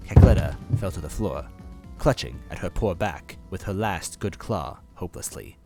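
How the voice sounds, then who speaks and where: A man's voice: low voice, lively intonation, normal speed, music in the background. A man narrating a first-person story expressively as a reader.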